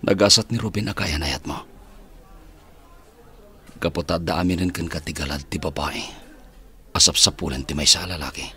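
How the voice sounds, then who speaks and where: Very deep, forceful police chief. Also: male voice, normal speed, pauses often. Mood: flattering. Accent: Ilocano Filipino. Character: insistent male police chief courting an unwilling woman. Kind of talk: Filipino radio drama acting in Ilocano.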